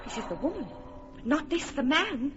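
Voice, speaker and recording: A giggly impish sprite. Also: female voice, high-pitched, sing-song, moderate pace, muffled recording, noisy background. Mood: teasing. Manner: gleeful, teasing, bouncy delivery. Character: mischievous impish fairy sprite, playful and quick. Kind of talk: classic radio drama acting.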